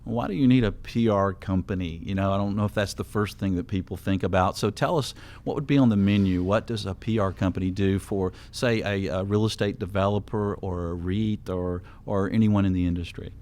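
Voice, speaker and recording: A man's voice: sits low, steady tone, fairly quick, darkish tone. A man hosting a radio talk show, upbeat and chatty, who laughs easily with his guests.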